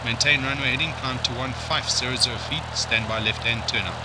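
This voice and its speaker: A man playing an airport tower controller, reading out scripted clearances in radio-call practice. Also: mid-range voice, fairly quick, close to an everyday voice. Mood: procedural. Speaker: air traffic controller in practice exercises. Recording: clear vehicle-like background noise.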